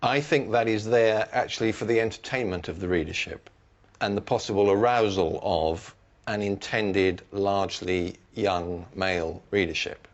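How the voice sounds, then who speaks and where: Male panellist, low-pitched, formal and deliberate, critical book talk, slightly muffled recording.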